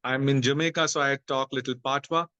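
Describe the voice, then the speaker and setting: A man with an Indian accent, a guest in a casual interview, talking haltingly with many fillers and restarts. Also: medium pitch, fairly even intonation, bright, forceful tone, no echo, lo-fi sound. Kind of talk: casual interview on a video call.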